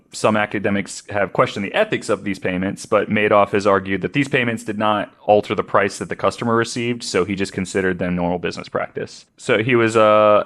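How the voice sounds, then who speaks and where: A man's voice: on the low side, fairly bright tone. A man hosting a podcast, reading researched notes aloud in an informal, conversational way. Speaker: podcast host.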